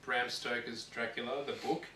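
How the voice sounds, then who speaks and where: A man chatting casually as co-host of a gaming show, often halting and repeating himself as he thinks. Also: mid-range voice, little pitch movement, sharp tone. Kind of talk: casual chat about films and games. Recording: roomy, distant mic with faint noise.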